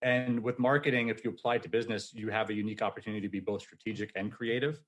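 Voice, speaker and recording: A forty-year-old man speaking as a podcast guest. He talks in an energetic, casual storytelling way and laughs often as he tells his story. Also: fairly low pitch, very fast. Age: 40s.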